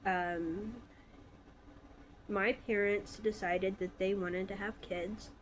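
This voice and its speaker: A woman's voice: mid-range voice, steady tone, slow, slight background noise, rough recording. A woman in her twenties from Alabama, stuffy from a cold, telling her own story in a casual, hesitant vlog with many fillers. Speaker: vlogger.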